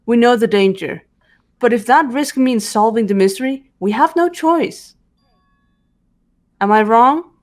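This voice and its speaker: A woman voicing a cool, determined teenage detective girl in a visual novel game, measured and reserved. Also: a little high, close to an everyday voice. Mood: cool persuasion.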